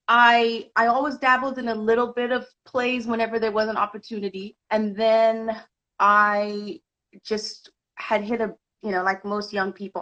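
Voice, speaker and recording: Woman from zimbabwe and kenya, bright forward voice, chatting reflectively as interview guest. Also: medium pitch, poor audio. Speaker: interview guest, actor and director. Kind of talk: conversational personal storytelling. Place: live video call.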